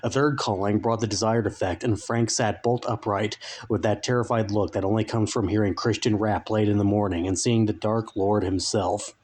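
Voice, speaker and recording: A man narrating a comic story as a dramatic reading, with deliberate, mock-solemn phrasing. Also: on the low side, steady tone, darkish tone.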